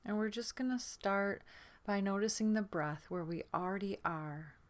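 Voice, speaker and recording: A woman leading a guided sleep meditation in a gentle, soothing manner, her soft voice often trailing into a breathy near-whisper. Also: mid-range voice, steady tone. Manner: soothing, gentle, unhurried with long pauses.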